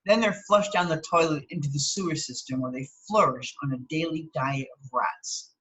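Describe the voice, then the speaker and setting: A woman's voice: low voice, moderate pace, a bit bright, poor audio. A woman reading an article aloud as a teacher, breaking off for plain explanatory asides and checking that listeners follow. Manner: instructive, checks understanding with tags like okay and right.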